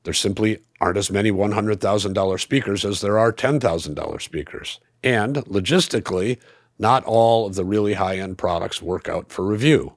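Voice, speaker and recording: Guy with a pretty deep voice doing a scripted review, measured and analytical, really dry recording. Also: dry recording. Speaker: audio equipment reviewer.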